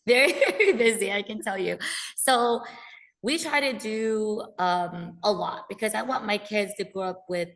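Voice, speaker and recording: A woman's voice: medium pitch, moderate pace, a bit bright. A woman, chatting as a podcast guest. She is upbeat, laughs often and fills gaps with ums. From United States. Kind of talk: casual interview chat.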